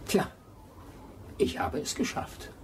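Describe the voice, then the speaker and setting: An actor playing a bitter, vengeful adventurer in a German radio drama, brooding and accusing. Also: male voice, takes it slowly, darkish tone, slight background noise, natural voice. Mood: cold suspicion.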